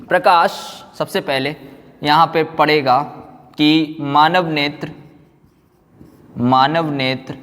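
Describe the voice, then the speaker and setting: A male teacher with a slightly high voice gives a classroom lecture. He goes slowly, repeating himself and posing rhetorical questions as he explains. The room adds a long, hall-like reverb. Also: fairly bright tone. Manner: repetitive, explanatory, with rhetorical questions and check-ins. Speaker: physics teacher.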